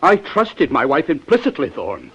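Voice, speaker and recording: A muffled old radio recording of a wealthy middle-aged male banker. His husky voice is stiff and defensive, with theatrical swings of intonation in 1950s drama style. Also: on the high side, huge pitch swings, moderate pace, a bit bright, heavily acted. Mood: stiff, grieving. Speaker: radio actor.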